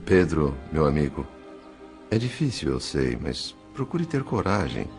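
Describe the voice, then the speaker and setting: An actor playing a compassionate spirit chronicler in a dramatized audiobook, narrating in an expressive, measured way. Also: male voice, extremely low pitch, gravelly, faint music behind, heavily acted. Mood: consoling. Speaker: narrator and character.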